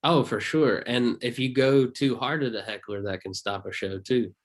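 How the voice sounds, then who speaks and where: A man with a Southern accent, a stand-up comedian chatting casually as a guest in a relaxed, rambling way. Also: medium pitch, moderate pace. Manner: laid-back, rambling, laughs often. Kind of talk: casual interview chat.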